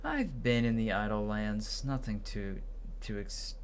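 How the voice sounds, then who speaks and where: Gaming narrator, medium pitch, stumbling dialogue read-aloud, noisy recording. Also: animated, a little slow. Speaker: gaming video narrator voicing characters. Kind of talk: reading video game dialogue aloud.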